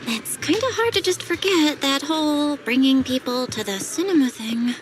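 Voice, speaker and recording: A nosy young girl detective in a game, high bouncy voice, expressive and teasing, faint music. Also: moderate pace. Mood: exasperated, determined. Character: inquisitive, pushy young female sidekick detective. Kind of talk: video game voice acting.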